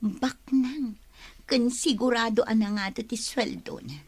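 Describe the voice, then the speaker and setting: A woman's voice: fairly high pitch, slow, slightly rough. An actress with an Ilocano Filipino accent playing a distressed, bitter young pregnant woman in an emotional radio drama. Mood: brooding. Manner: emotional, dramatic, tearful outbursts and muttered monologue.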